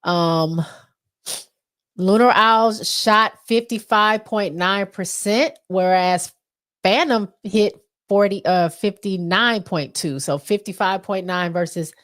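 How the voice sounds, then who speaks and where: A woman's voice: medium pitch, takes it slowly, fairly bright tone. A woman with an American accent, stuffy from allergies, casually recapping basketball stats with lots of ums. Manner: reads stats with many fillers. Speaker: podcast co-host.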